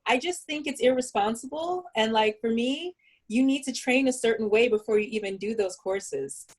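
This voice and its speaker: Firm-spoken woman panelist, fairly high. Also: moderate pace. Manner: firm, emphatic opinions. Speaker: panelist, bodybuilder and dancer. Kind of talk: casual panel discussion.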